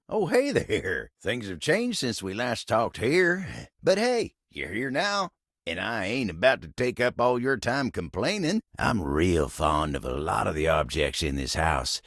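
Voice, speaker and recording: Gravelly, low-voiced Southern cowboy table. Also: male voice, no room sound, clean studio sound, exaggerated voice. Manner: folksy drawl, rambling, polite. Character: folksy, bashful, old-fashioned cowboy table, easygoing and chatty. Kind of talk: video game character dialogue.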